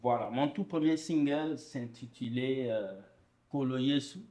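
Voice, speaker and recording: A young man from Congo, a gospel singer, answering interview questions in an earnest, relaxed storytelling way. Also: mid-range voice, a little slow.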